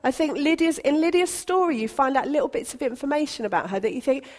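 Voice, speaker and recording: A woman's voice: high voice, lively intonation, quick pace, a little mellow. A woman pastor from Britain, preaching a sermon in a chatty, encouraging way with light humour. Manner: conversational, encouraging, self-deprecating humour.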